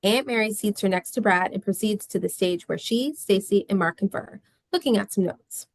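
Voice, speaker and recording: A woman's voice: medium pitch, normal speed, dry recording. A woman reading scripted stage directions as narrator of a screenplay table read.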